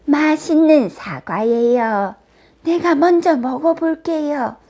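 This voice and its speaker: A woman voicing a wicked queen disguised as an old peddler woman in a children's fairy tale, with drawn-out, coaxing, sing-song calls. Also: high voice, warm tone, voice pushed up.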